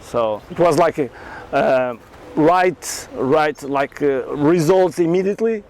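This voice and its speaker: Earnest Portuguese man, slightly high voice. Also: a little mellow, low-quality recording. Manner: earnest, halting non-native English with many restarts. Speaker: karate champion and entrepreneur, guest. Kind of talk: interview storytelling.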